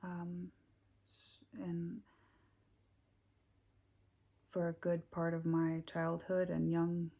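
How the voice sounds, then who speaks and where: An Icelandic woman speaking American English, hosting her knitting podcast in a hesitant, casual way with many pauses and fillers. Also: on the low side, monotone, gravelly. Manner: hesitant, many ums and restarts, soft-spoken. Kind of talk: casual chat to camera.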